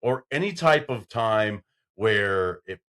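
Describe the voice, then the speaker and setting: A man with a deep, carrying voice hosting a casual podcast chat, telling stories and getting loud when excited. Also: slow. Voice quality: deep voice that carries. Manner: loud when excited, storytelling with acted-out quotes. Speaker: podcast host.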